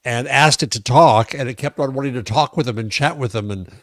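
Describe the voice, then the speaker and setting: A man's voice: medium pitch, fairly quick, no room sound, clean studio sound. A man chatting casually and bluntly as a podcast co-host. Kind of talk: casual chat.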